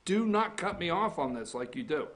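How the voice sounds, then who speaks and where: A man hosting a casual morning talk show, with a voice pitched a little higher than most men's. He teases his co-host and cuts in on her, then turns to the viewers to point them where to look. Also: lively intonation, normal speed, fairly bright tone. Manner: teasing, banters and interrupts.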